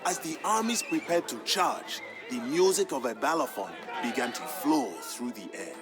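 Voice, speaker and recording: An actor playing a proud royal griot, narrating a strategy game's campaign story in a grand, measured and emphatic manner. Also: male voice, medium pitch, animated, crowd noise, natural voice. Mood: grave, suspenseful. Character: proud male griot, a king's humble family historian, solemn storyteller. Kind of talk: dramatic scripted narration for a strategy game campaign. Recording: music behind the voice. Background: background music.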